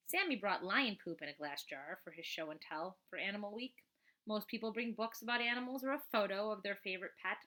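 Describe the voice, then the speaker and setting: A woman reading a children's book aloud like a teacher, expressively, with chatty asides in an animated, emphatic manner. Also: mid-range voice.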